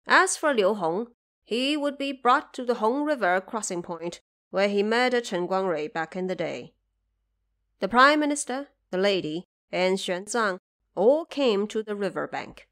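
Female narrator, mid-pitched voice, scripted dramatic storytelling for a classic adventure audio drama. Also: lively intonation, a little slow, a bit bright.

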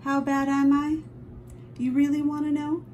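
A woman reading a children's picture book aloud expressively, with dramatic drawn-out emphasis on key phrases. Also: high-pitched, completely flat delivery, a little mellow, slight background noise. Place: indoors at a table, book held before camera.

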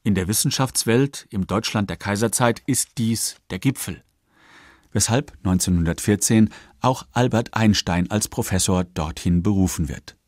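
A man reading scripted documentary narration in a factual, measured manner. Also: lowish voice, dry recording, clean studio sound.

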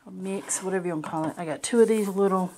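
Chatty female home vlogger, lowish voice, plainspoken casual narration, faint crinkly noise behind. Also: rapid-fire. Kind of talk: casual grocery haul narration. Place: indoors at a kitchen or dining table. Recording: noisy home recording, crinkling packages. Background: crinkling packaging, clicks, background noise.

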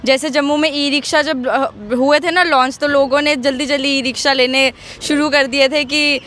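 A woman's voice: sits high, steady tone, moderate pace, fairly bright tone. A woman, presenting and interviewing in a chatty, enthusiastic way and mixing in English words. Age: 20s. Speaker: host and interviewer. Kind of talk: presenter piece to camera and street food interview. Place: outdoors by a roadside food cart. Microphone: handheld microphone. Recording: outdoor street noise with traffic.

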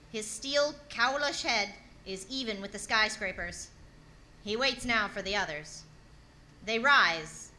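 A woman's voice: on the high side, bright, forceful tone, poor audio. A woman playing a grave, urgent radio announcer reporting an invasion in clipped, dramatic 1930s radio drama style.

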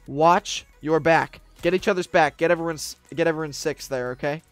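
Young guy streamer bossing his squad around, high voice, urgent, whispers then yells, dry close mic, music under it. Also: steady tone, normal speed, smooth, dry recording. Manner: urgent, barking orders, swings between hushed and shouting. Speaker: streamer leading a roleplay team. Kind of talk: in-character roleplay commands. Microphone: desk mic, webcam streamer.

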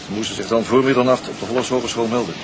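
Over noisy ambience, a weary police detective acted by a man speaks Netherlands Dutch in a medium-pitched voice. He is businesslike and clipped, a sleepless investigator in a naturalistic radio play. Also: steady tone, racing, lightly acted. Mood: grave, businesslike. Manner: matter-of-fact, clipped questioning. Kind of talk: naturalistic radio drama acting.

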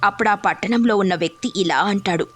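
A woman's voice: mid-range voice, fast, fairly bright tone, faint music behind. A woman reading scripted narration as the storyteller of a moral tale.

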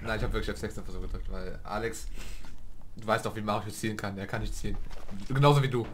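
A man's voice: lowish voice, fairly even intonation. A young man chatting casually with friends over voice chat while gaming, calling out tactics. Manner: fast-talking, calls out tactics. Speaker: gamer, channel owner. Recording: noisy voice chat with mouse clicks.

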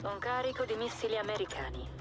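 An actress playing a composed, businesslike intelligence officer in a military game, crisp and authoritative. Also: female voice, medium pitch, very animated, fast talker, music in the background, natural voice. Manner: crisp, matter-of-fact, authoritative. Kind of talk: cinematic military game acting.

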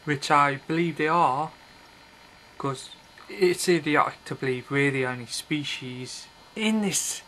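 A man's voice: fairly high pitch, measured pace, pushed and bright, a little hiss or hum, low-quality recording. A man in his thirties rambling to a webcam in a small bedroom, blunt and offhand, often breaking off mid-thought. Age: 30s. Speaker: video blogger. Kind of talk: casual rambling monologue to camera. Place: small bedroom with red curtains, indoors. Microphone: built-in webcam mic.

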